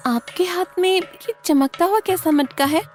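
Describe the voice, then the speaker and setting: A woman's voice: very high voice, a little slow, a little mellow, soft background music, pitched above the performer's own voice. A woman voicing a dutiful, curious village wife in a cartoon story, with an eager, deferential manner. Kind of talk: Hindi cartoon story dialogue.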